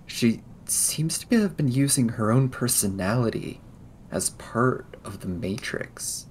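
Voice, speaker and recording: A man improvising in-character conversation in a tabletop roleplaying game, explaining things in a hesitant, halting way. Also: medium pitch, expressive, darkish tone, a little hiss or hum.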